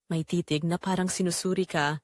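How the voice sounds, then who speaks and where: A woman's voice: fairly low pitch, fairly even intonation, brisk, no echo, studio quality, lightly acted. A woman with a Filipino accent playing a lonely newlywed wife, confiding earnestly in first-person audio drama narration. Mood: uneasy, reflective. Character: lonely young newlywed wife confessing her secret.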